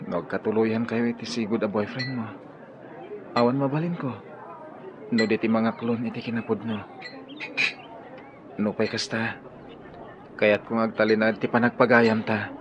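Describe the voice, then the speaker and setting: A man's voice: on the low side, fairly even intonation, measured pace, long pauses, natural voice. An actor with an Ilocano accent playing an earnest, lovelorn young man in a radio drama. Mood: earnest, wistful. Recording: dull lo-fi audio, clear background noise.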